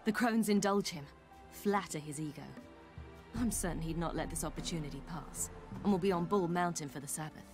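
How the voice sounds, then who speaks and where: A woman's voice: fairly low pitch, a little fast, lightly acted. A woman playing a determined young warrior in a fantasy game, with a British accent and earnest, formal phrasing. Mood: measured, explaining. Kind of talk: cinematic fantasy game acting. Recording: music behind the voice. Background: game music.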